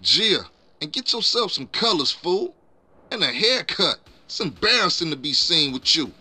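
An actor playing a cocky, hot-tempered gangster who talks in brash, mocking slang in a video game. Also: male voice, fairly high pitch, big pitch swings, moderate pace, bright, forceful tone, breathy edge, heavily acted. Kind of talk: video game cutscene acting.

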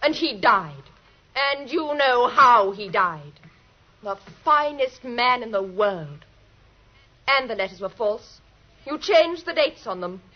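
Wronged British widow, high swooping voice, vengeful, theatrical 1950s radio drama. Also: female voice, very animated, slow, full character voice. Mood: anguished, bitter. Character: vengeful wronged widow, confronting her blackmailer with a pistol. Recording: muffled 1950s radio recording.

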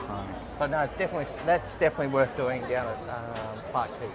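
A man talks his way through a walking tour, stumbling and restarting his words in easy, joking chat. His voice sits in the middle range. Loud street traffic rumbles behind him outdoors. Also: fast talker, crowd noise. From Australia. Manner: repeats and restarts words, teasing talk about good value. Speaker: travel vlogger. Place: outdoors on a busy city street.

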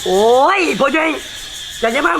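A man's voice: moderate pace, heavy background noise, close to an everyday voice. A man with an Isan accent playing a cheeky, sceptical village youngster who teases his elder, in a folk comedy series. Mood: mocking. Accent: Isan, northeastern Thai. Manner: teasing, challenging. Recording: loud music under dialogue.

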